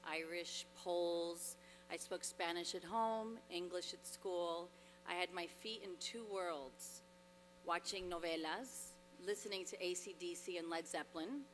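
A woman's voice: on the low side, fairly even intonation, unhurried, fairly bright tone. A woman in her thirties gives an earnest, grateful award acceptance speech at a podium microphone on stage, with frequent fillers and restarts. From Dominican Republic. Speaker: award honoree. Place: large hall, on stage at a podium. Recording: long reverb in a large hall, faint hum.

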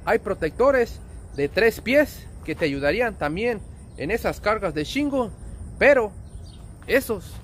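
High-pitched, animated Mexican man. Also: unhurried. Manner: friendly, colloquial, with diminutives and slang. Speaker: trucker vlogger. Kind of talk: casual explanatory vlog. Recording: outdoor ambient and vehicle noise.

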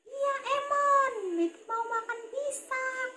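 A woman voicing a small, anxious young female duck puppet in a children's story, whiny and pleading in a drawn-out way. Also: extremely high pitch, steady tone, pushed and bright, echoey room, pitched above the performer's own voice, exaggerated voice. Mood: eager, sweet. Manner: pleading, plaintive, drawn-out delivery.

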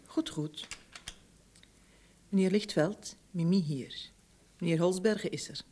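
Zakelijke, kordate secretaresse. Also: female voice, fairly low pitch, takes it slowly, dark tone, slight background noise. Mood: formal. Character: brisk, businesslike female shipping-office secretary. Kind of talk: old radio drama acting.

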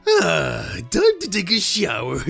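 A man voicing a vain, earnest, theatrical young man with a husky voice in an animated roleplay. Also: sits high, very slow, exaggerated voice. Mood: smug, playful. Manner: melodramatic, self-admiring. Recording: background music under dialogue.

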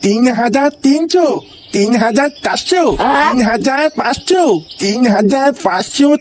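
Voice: high voice, animated, normal speed, noisy background. A performer voicing a young grandchild in a comedy cartoon. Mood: absorbed.

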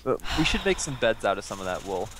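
A man chatting casually while playing a game, teasing and quick to laugh, on a headset mic. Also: fairly low pitch, very animated, a bit bright, slight background noise, poor audio. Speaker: let's play co-host. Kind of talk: casual gaming chat.

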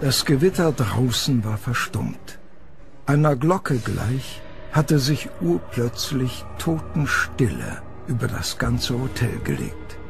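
A man's voice: mid-range voice, very animated, slow, vocal fry. A man narrating a scripted horror story for an audio drama. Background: music.

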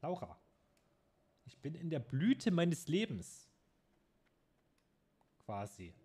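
A man's voice: on the high side, wildly swooping pitch, takes it slowly, warm tone, breathy edge. A man in his twenties streaming a game from his room at home, chatting and teasing his friend. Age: 20s. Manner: joking, teasing banter. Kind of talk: casual gaming chat.

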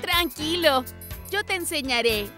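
A woman's voice: high voice, expressive, measured pace. A woman voicing a self-assured, patiently instructing kitten in a cartoon dub. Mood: confident, instructive. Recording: music behind the voice.

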